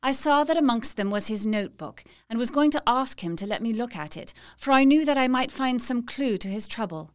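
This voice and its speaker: A woman's voice: a little high, expressive, brisk, hardly any pauses, dry recording, clean studio sound, close to an everyday voice. An actress playing a devoted young Victorian woman reading out her letter in an audio drama, earnest, tender and confiding. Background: music.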